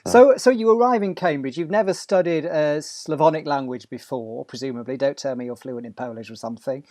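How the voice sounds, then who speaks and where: A man's voice: on the high side, brisk, a little mellow, no echo, studio quality. A man interviewing a student, asking conversational questions and often repeating his first words as he starts them.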